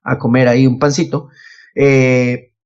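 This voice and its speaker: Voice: medium pitch, flat delivery, a little slow, a little mellow, clean tone, dull audio. A podcast host, chatting casually and telling anecdotes, often acting out what others said. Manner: acts out quoted remarks.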